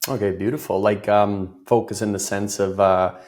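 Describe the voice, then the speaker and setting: A man's voice: fairly low pitch, fairly even intonation, moderate pace, darkish tone. A man from Ireland, speaking as an interview guest with a voice slightly off from a cold, chatty and full of fillers. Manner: loose, filler-heavy, laughs easily. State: recovering from a cold, voice a bit off. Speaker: guest, business consultant. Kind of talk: conversational interview.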